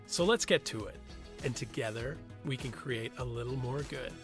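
A man's voice: mid-range voice, normal speed, over music. A man hosting a podcast, moving between scripted introduction and casual conversation. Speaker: podcast host.

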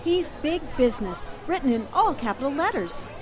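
Actress as an earnest, high-voiced lady reporter. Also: sing-song, moderate pace, a crowd in the background. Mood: earnest, sober. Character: earnest, dutiful female newspaper reporter. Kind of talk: 1940s radio drama acting.

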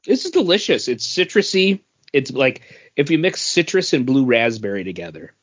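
A man's voice: mid-range voice, big pitch swings, moderate pace. A man co-hosting a podcast, chatting casually with the others. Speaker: podcast co-host. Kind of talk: casual chat. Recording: dull lo-fi recording.

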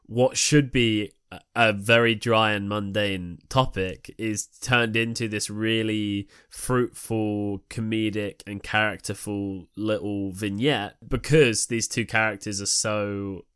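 A man's voice: fairly low pitch, fairly even intonation, slow, a bit bright, smooth, dry recording, studio quality. A man co-hosting a casual analytical discussion, thoughtful and discursive in the way he builds his points. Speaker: podcast co-host.

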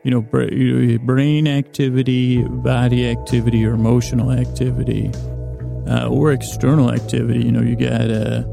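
A man hosting a bedtime podcast in a gravelly voice, rambling in a halting, meandering way and often interrupting himself to lull listeners to sleep. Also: mid-range voice, little pitch movement, music in the background. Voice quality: gravelly, creaky. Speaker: podcast host.

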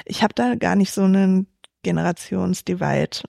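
Junge Frau mit knarziger, dunkler Stimme. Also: female voice, mid-range voice, normal speed, gravelly, dark tone, no room sound, studio quality. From Germany. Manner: thoughtful, many fillers and restarts. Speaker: startup founder, guest. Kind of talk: reflective interview answers.

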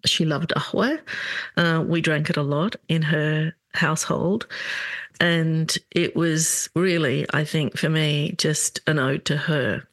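A middle-aged woman hosting a solo commentary podcast, talking in an earnest, indignant way with halting restarts. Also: sits low, fairly even intonation, no echo. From Australia. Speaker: podcast host.

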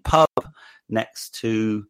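Casting: male co-host, very low croaky voice, tired, wry humour, dry close sound. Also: extremely slow, fairly bright tone, no room sound. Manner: wry, self-deprecating. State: hoarse and tired. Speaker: podcast co-host. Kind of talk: casual chat.

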